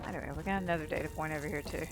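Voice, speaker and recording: A woman's voice: sits low, steady tone, racing. Casual woman gamer over prominent music. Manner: informal, thinking aloud. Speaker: gameplay commentator. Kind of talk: casual game commentary.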